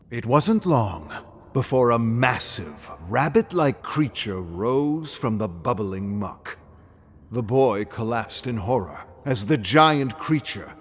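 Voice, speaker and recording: A man giving a dramatic scripted narration of a dark horror folktale, grave and theatrical, often hushing at the ends of phrases. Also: medium pitch, a little hiss or hum. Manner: grave, theatrical storytelling with hushed phrase endings. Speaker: narrator of game lore.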